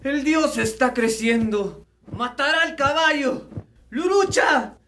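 A man playing a dying old Andean ritual dancer and master in a radio drama, solemn and halting. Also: very high-pitched, bright, forceful tone, slight background noise, exaggerated voice. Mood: solemn, visionary.

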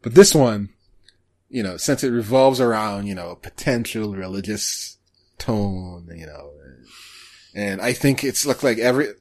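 A man hosting a podcast, chatting casually about shows in a halting, hesitant way. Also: lowish voice, animated, darkish tone. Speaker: podcast host.

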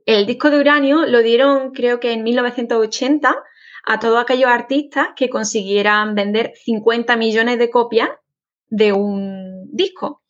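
A woman with an Andalusian accent, a guest in a casual interview, speaking in a friendly, informative and steady way. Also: fairly high pitch, normal speed. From Jaén, Andalusia, Spain. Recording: dull lo-fi audio.

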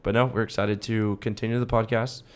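A young American man with a fairly low voice, co-hosting a podcast. He talks in loose, slangy banter, peppering his sentences with bro and dude like he is chatting with a friend. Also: level tone, fairly quick. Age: young adult. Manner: slangy, bro-heavy, informal talk. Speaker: podcast co-host.

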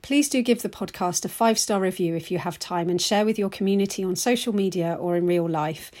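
A woman hosting a nature podcast. She reads the show notes with enthusiasm and then asks her guest questions warmly. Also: lowish voice, a little fast. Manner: enthusiastic, encouraging listener sounds. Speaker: podcast host. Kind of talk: scripted intro, then interviewing.

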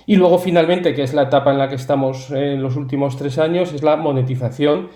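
Mellow man in an echoey room. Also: fairly high pitch, steady tone, brisk. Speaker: interview guest, company founder. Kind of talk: explanatory interview talk. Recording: roomy, echoey sound.

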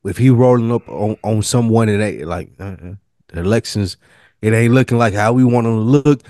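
Slow-talking man, dry close mic. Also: on the low side, dark tone, no room sound. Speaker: podcast co-host. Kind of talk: casual chat.

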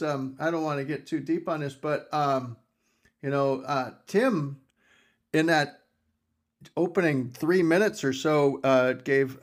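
A man co-hosting a podcast in casual chat, joking and rambling, often laughing between lines. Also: a little high. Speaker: podcast co-host.

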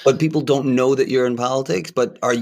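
Deadpan male podcast host. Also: mid-range voice, a little fast, gravelly. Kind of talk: comedy podcast chat.

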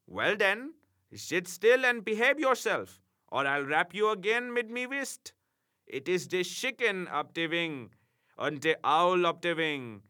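Brief: male reader doing a blustering German-accented angel, high and loud, pompous and bossy. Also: full character voice. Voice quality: thick broken English, rolling mock-formal phrasing. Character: pompous tipsy male angel, quarrelsome, heavy-handed.

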